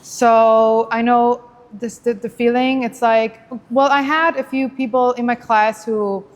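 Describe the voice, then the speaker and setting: High voiced lady hosting a chatty podcast, super informal n expressive, long reverb on her. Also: a little slow. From Switzerland, originally from Iran. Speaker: podcast host interviewing a guest. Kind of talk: casual chatty interview.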